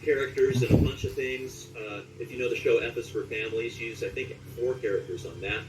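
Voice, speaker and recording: Interview guest, male, late forties, mid-pitched conversational storyteller, recorded with noticeable background noise. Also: steady tone, brisk. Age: late 40s. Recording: roomy echo, distant mic, clear background noise.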